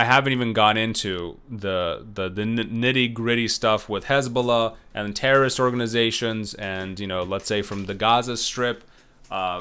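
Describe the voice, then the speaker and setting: A man's voice: lowish voice, pushed and bright, smooth. A man giving a recorded lecture in a loose, conversational way, full of fillers, restarts and self-corrections. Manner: unsure about names, self-correcting. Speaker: professor.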